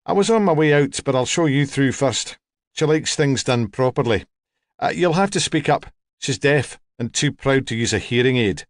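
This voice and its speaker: A man's voice: mid-range voice, expressive, normal speed, no echo, studio quality, natural voice. A man voicing a plain-spoken, chatty woman working as a home help, in an audiobook story. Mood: practical. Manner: chatty, forthright, unbothered.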